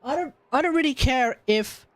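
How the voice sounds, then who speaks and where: A man's voice: very high voice, expressive, normal speed, slight background noise. A man with an Australian accent giving casual, opinionated, rambling commentary on a gaming livestream. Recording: faint game music behind.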